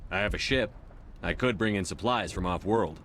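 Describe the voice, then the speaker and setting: A man's voice: deep voice, moderate pace, fairly bright tone, a little hiss or hum. A man playing a direct, businesslike military starship commander in video game dialogue. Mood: brisk, inquiring.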